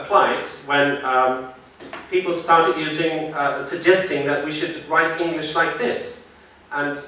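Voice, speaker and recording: A man, a phonetician, giving a conversational conference talk, thinking aloud with frequent pauses. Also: on the high side, fairly bright tone. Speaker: symposium speaker, phonetician. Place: large lecture room. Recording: very echoey hall, mic far off.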